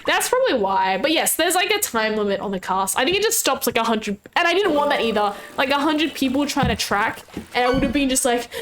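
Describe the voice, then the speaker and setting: Woman, bubbly streamer chat, very animated, slight sore throat. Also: high-pitched, background noise. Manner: bubbly, chatty, frequent squeals and laughs. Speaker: VTuber streamer. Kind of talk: casual live-stream chat.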